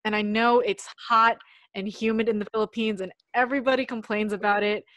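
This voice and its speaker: A woman from the United States co-hosts a relaxed podcast conversation. She talks in a reflective, meandering way, full of little fillers. Her voice sits at a mid pitch and sounds dry and close. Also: brisk, dry recording, highs cut off. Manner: reflective, loose, many "like" fillers. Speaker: podcast co-host. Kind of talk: casual podcast chat.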